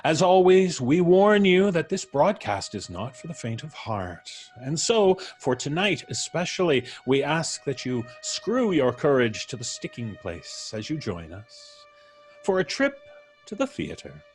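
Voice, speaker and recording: A man playing an ominous horror show narrator, speaking in a dramatic, measured and grandiose way over a scripted introduction. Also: on the high side, sing-song, faint music behind, voice pushed up.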